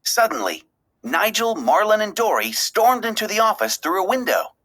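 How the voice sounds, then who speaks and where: A woman narrating a children's story aloud, expressively, with deliberate, dramatic phrasing. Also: mid-range voice, very animated, fairly bright tone.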